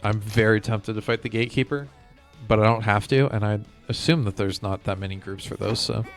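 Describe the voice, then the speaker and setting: A man's voice: on the low side, fairly even intonation, brisk. A man streaming a game, chatting casually and thinking aloud to his viewers. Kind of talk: casual chat while playing a game. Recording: music behind the voice.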